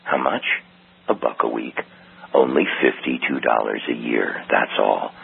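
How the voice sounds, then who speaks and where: A man's voice: extremely low pitch, unhurried, vocal fry, slightly rough. A man reading a scripted radio commercial in a persuasive, conversational way. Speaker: ad announcer. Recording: tinny phone-like audio.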